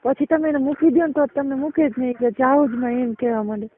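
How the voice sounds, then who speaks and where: A woman's voice: high-pitched, quick pace. Gujarati-accented woman on a tinny phone line. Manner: colloquial, explanatory, often checking the listener understands. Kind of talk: casual private phone chat. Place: recorded phone call. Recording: muffled narrowband phone call recording.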